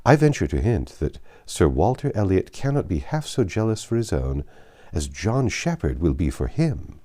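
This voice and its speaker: Man as an ingratiating, deferential estate agent, lively intonation. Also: low voice, moderate pace. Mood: reassuring, deferential. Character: obliging, flattering male estate agent, deferential. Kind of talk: audiobook character reading.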